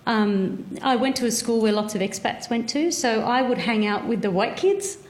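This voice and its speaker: A woman from Fiji speaks candidly as a panel guest, now and then pausing on a small hesitation. Her voice sits a little high and rings in an echoey room. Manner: candid, lightly hesitant storytelling. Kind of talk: casual panel talk.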